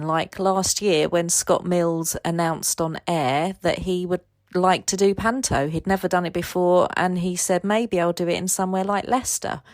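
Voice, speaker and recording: A woman's voice: lowish voice, no echo. A woman giving an organized, informative answer as a casting professional. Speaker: head of celebrity casting. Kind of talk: explanatory answer.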